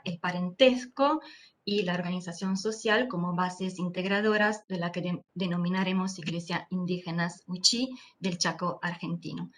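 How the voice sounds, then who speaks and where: A woman with an Italian accent, Italian her first language, giving an academic lecture over a video call in a careful, measured way with frequent hesitant fillers. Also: on the low side, a bit bright. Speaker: anthropology lecturer.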